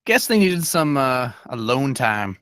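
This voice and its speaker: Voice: mid-range voice, very animated, unhurried, fairly bright tone, smooth. A performer playing a laid-back, joking young boy drone racer in a table read. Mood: casual.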